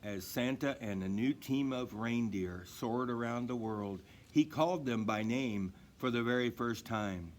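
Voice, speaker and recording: A man reading a children's story aloud in an expressive narrating style. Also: medium pitch, unhurried, few pauses, slight background noise. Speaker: storybook reader.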